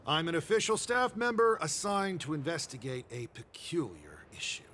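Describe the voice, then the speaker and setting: Game dockworker, high male voice, lively intonation, officious, fussy, slight background noise. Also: moderate pace. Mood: officious, puzzled. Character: officious male dockyard staffer, busy and puzzled. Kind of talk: video game voice acting.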